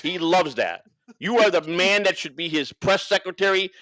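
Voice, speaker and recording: Higher-voiced man ranting, profane, dry close sound. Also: normal speed, fairly bright tone, dry recording. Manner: profane, rambling, repeats himself for emphasis. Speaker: livestream co-host. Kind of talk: unscripted livestream rant and banter.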